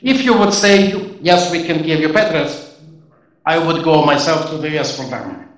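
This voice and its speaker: A man giving formal, measured answers to audience questions at a university event, pausing between phrases. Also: fairly high pitch. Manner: measured, deliberate, with short pauses between phrases. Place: university auditorium. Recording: roomy hall, voice from a distance.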